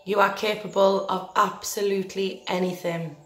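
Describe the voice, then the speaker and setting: A woman leading a guided meditation in a gentle, soothing, deliberate manner, leaving long pauses between phrases. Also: mid-range voice, steady tone. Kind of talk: guided meditation, scripted narration. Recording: roomy echo with faint music behind.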